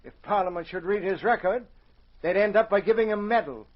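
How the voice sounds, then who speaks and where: A male actor's high-voiced police inspector in an old radio adventure. He is dignified, formal and resolute, speaking with authority on a muffled vintage recording. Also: a little fast, lightly acted. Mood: firm, formal. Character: firm, dignified police inspector defending the dog. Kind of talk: 1940s radio drama acting.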